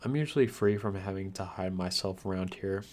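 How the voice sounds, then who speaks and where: A man's voice: deep voice, a little fast, a little mellow, a little hiss or hum, natural voice. A man playing a lonely, guarded undead vampire, speaking straight to the listener in a wry, theatrical ASMR roleplay.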